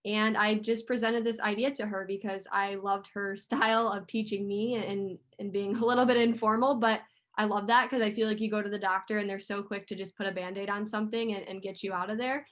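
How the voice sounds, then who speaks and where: A woman's voice: medium pitch, little pitch movement, a little fast, a bit bright. A woman in her twenties, founder of a women's group, hosting an online class in a chatty, earnest way with many ums and likes. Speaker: host, community founder. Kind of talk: casual video call talk. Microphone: video call.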